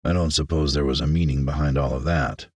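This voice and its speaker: A man voicing a gruff, wary survivor in his thirties with a plain, dry manner, in an audiobook. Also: very deep voice, steady tone, fairly quick, no echo, voice pushed down. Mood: earnest, dry.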